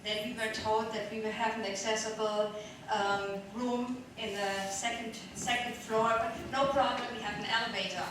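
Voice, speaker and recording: A woman's voice: fairly high pitch, fairly even intonation, moderate pace. A woman on a discussion panel giving an informal, anecdotal account in hesitant, piecemeal phrases. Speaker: panel speaker. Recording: very echoey, distant mic, noisy room.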